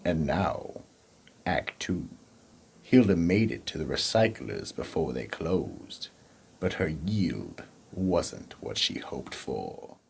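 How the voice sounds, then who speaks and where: A man narrating a scripted horror radio drama in a dramatic, ominous manner, with a very raspy, gravelly voice. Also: very deep voice, big pitch swings, slow, a little hiss or hum.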